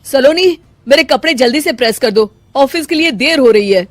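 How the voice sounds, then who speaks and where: A woman voicing a hurried young husband in a cartoon story, in a quick, clipped manner. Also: high-pitched, expressive, dry recording, soft background music, pitched above the performer's own voice.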